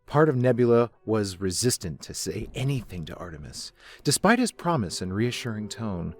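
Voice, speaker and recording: A man reading scripted third-person story narration as the narrator. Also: medium pitch.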